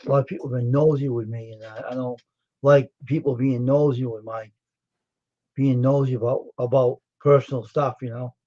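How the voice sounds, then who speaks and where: A man's voice: medium pitch, steady tone, normal speed, dark tone. Guarded man on a dull line. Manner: guarded, repeats himself, short agreements. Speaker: live stream co-host. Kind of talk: casual chat.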